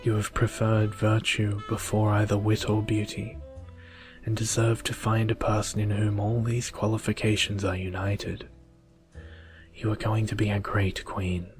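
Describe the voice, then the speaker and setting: A man voicing a stern, just lady fairy in a solemn, measured ASMR storybook reading. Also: lowish voice, monotone, over music, close to an everyday voice. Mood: approving, solemn.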